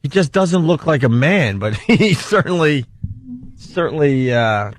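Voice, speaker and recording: A man hosting a casual radio talk show, speaking loosely and haltingly into a close studio mic. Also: fairly high pitch, expressive.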